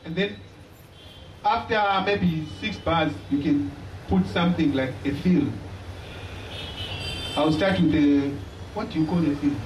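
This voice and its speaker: A young man with a Zimbabwean accent, teaching an audience about drumming in a live lecture, talking plainly with many pauses. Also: fairly high pitch. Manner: unhurried, pausing often, friendly and plain. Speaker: drummer giving a lecture-demonstration. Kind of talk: halting live teaching talk. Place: small indoor stage hall. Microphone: stand mic, recorded from a far camera. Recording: echoey hall, voice from far off, audible noise.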